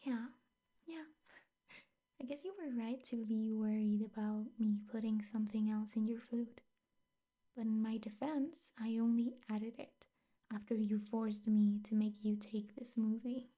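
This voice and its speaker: A young woman playing a possessive, sweetly menacing teacher girlfriend in an ASMR roleplay, speaking softly, intimately and often in whispers. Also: fairly high pitch, fairly even intonation. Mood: sweetly controlling. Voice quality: soft, breathy, often whispered. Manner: slow, intimate, coaxing with veiled threats.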